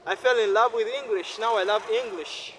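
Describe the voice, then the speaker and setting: A man's voice: sits high, normal speed. A man from Uganda whose first language is Luganda, talking in an earnest, defiant and repetitive vlog monologue while filming on a city street. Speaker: vlogger. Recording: street noise behind.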